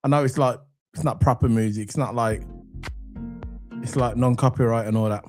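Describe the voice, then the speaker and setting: A man hosts a relaxed live stream, his voice croaky from a cold. He drifts along with ums and restarts, talking straight to his chat, his pitch in an ordinary middle range, with faint music underneath. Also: brisk. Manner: loose and chatty, many ums and restarts, addresses the chat. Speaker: football channel host. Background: soft background music.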